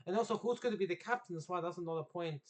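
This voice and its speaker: A young man from England chats about football straight to his viewers. His voice sits a little high, and he rambles, doubles back and corrects himself as he goes. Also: rapid-fire. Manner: rambling, self-correcting, loses his train of thought. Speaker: football vlogger. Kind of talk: casual unscripted vlog.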